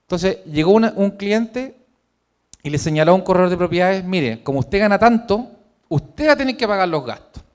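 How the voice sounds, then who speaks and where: A man presenting his own book in a formal, measured lecture to an audience in a hotel conference room. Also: high voice, expressive.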